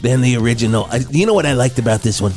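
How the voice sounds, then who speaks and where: A man's voice: mid-range voice, animated, rapid-fire, darkish tone, close to an everyday voice. A man playing a jokey, easily distracted classic rock DJ in improvised comedy radio banter over a music bed. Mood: upbeat, joking.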